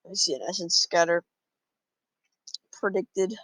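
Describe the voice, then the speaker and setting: A man's voice: high voice, fairly even intonation, measured pace, fairly bright tone, no echo, poor audio. A man casually talking through his moves in a card game, thinking aloud with frequent fillers. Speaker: gameplay commentator.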